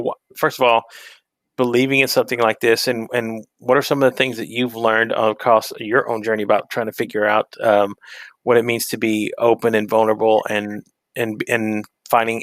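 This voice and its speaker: A man's voice: medium pitch, fairly bright tone, no room sound. Chatty male host, dry close mic. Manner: laughs often, rambling with restarts. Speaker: live stream host. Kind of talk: casual live stream interview.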